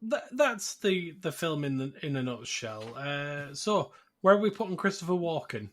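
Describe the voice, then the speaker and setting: A man's voice: fairly high pitch, very animated, moderate pace. A man hosting a podcast, recounting a film casually and jokily, acting out bits as he goes. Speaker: podcast host. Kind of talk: casual film recap chat.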